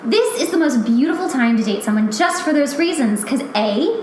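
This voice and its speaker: A woman's voice: high-pitched, lively intonation, moderate pace, a little mellow, slight background noise. A young adult woman hosting a podcast, chatting casually in an emphatic, opinionated way with lots of "like". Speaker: podcast host. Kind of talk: casual chat. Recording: very echoey room.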